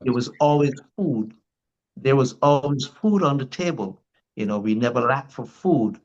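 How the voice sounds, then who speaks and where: A man's voice: mid-range voice, animated, normal speed, a little mellow, highs cut off. An older man from Barbados with a Barbadian accent, telling his life story as a guest in a casual interview, rambling with many restarts.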